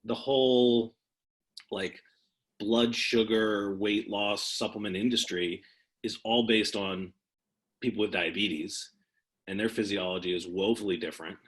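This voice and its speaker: A man's voice: lowish voice, steady tone, a little slow, fairly bright tone. A man taking part in a coaches' call, making his points in casual, explanatory discussion. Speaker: participant in a coaches' conference call.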